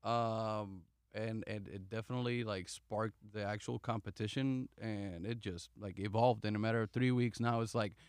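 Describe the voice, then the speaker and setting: A man's voice: on the low side, fairly even intonation, moderate pace, few pauses, darkish tone, clean tone, no echo, studio quality. Man rambling through a story. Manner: rambling, lots of fillers. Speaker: video creator. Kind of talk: casual group chat.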